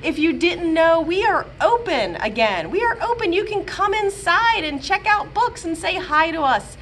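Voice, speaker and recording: Gentle, lively children's librarian woman. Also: very high-pitched, a little hiss or hum. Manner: gentle, sing-song and expressive, slowing to a hush for lullabies. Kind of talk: storytime reading and singing for young children. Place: small room with bookshelves, indoors. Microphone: webcam at close range.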